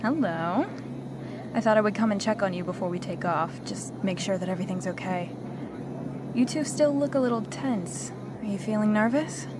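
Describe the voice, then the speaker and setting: A woman's voice: medium pitch, normal speed, natural voice. A woman playing a friendly, solicitous flight attendant in an audio drama, speaking in polite, reassuring patter. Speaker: voice actor in an audio roleplay. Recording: clear cabin noise behind the voice. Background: airplane cabin ambience.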